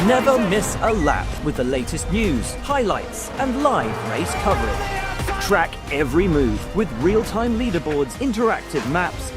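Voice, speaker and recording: A man reading an energetic scripted promo voice-over for an app. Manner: punchy, energetic pitch.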